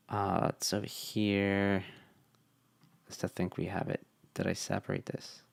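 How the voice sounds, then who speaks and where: A young man talking through a casual coding tutorial, relaxed and thinking aloud with fillers, his voice tired from long meetings. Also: sits low, vocal fry, no echo. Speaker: web developer.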